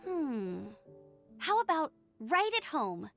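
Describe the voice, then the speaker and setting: A woman voicing a sweet, easygoing, polite young girl with a gentle, hesitant manner in an anime-style video game. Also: sits high, sing-song, takes it slowly, vocal fry, faint music behind, heavily acted. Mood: thoughtful.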